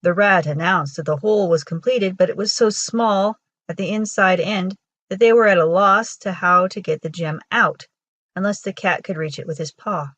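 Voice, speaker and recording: A woman with a husky voice reading a children's folktale aloud as a storyteller. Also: fairly low pitch, moderate pace, fairly bright tone, no room sound, muffled recording. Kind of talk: scripted storybook narration for children.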